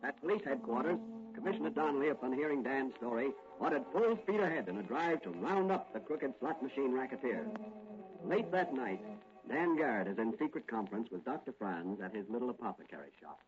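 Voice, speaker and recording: A man's voice: mid-range voice, sing-song, fairly quick, natural voice. A man narrating a 1940s radio serial in a dramatic, declamatory announcer's style. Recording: muffled 1940s radio recording, loud music.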